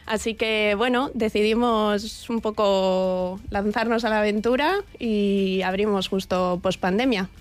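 A woman who owns a café, a guest on a radio show, speaking in a relaxed, matter-of-fact way. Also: medium pitch, measured pace, hardly any pauses. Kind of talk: radio interview.

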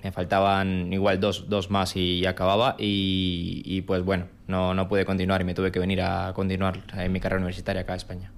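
A man's voice: sits low, completely flat delivery, brisk, few pauses. A Colombian man in his twenties, answering interview questions in a hesitant way, with restarts and repeated words. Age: 20s. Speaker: interviewee, private tutor.

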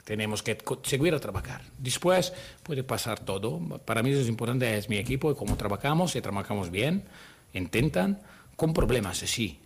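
A man with an Italian accent, a basketball coach answering press questions haltingly in a second language, hunting for words. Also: mid-range voice, animated, a little hiss or hum. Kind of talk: post-game press conference answers. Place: press room.

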